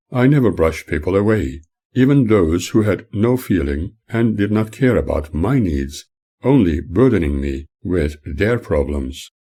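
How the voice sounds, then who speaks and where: An older man, the author, reading his own memoir aloud as audiobook narration in a measured, formal manner. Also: deep voice, mellow, breathy edge.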